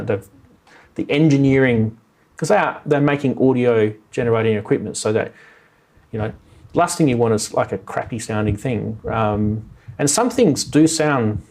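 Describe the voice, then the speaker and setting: A man's voice: medium pitch, darkish tone. A man hosting a live music tech show, rambling enthusiastically and doubling up words for emphasis. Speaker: podcast host. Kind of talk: casual live show chat.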